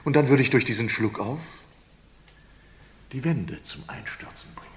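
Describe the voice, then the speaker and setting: Condemned prisoner played by a man for radio thriller: mid-range voice, ornate courtesy, calm menace, sly mockery. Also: mid-range voice, slight background noise, close to an everyday voice. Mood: calm polite menace. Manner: elaborately polite, hesitant, drawn-out menace. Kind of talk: German radio crime play acting.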